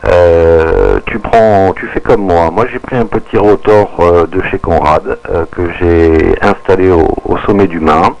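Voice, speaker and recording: A man's voice: deep voice, steady tone, a little slow, gravelly, hardly any pauses, a little mellow. A man chatting casually as an amateur radio operator, hesitating and repeating his phrases, heard on lo-fi audio. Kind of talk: casual ham radio chat.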